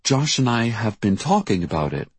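A man's voice: lowish voice, sing-song, normal speed, breathy edge, dry recording, voice pushed up, full character voice. A man voicing an anxious, tearful mother who frets and protests about her missing son in an audiobook story. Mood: worried, insistent.

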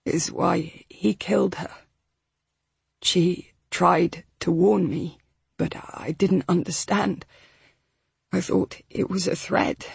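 Woman voicing a hoarse, shaken young woman, slow and quiet, dry close audiobook sound. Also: on the low side, fairly even intonation, no room sound, exaggerated voice. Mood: numb.

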